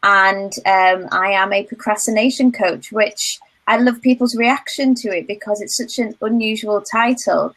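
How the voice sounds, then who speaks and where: Young northern English woman, cheerful guest on a video call, quick to laugh. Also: mid-range voice, fairly bright tone, rough recording. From northern England. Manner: chatty, laughs often. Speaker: guest, procrastination coach. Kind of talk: casual interview.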